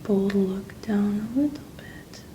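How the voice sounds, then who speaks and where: A woman giving gentle, slow ASMR instructions as a makeup artist, speaking in a soft, breathy whisper. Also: mid-range voice, slight background noise.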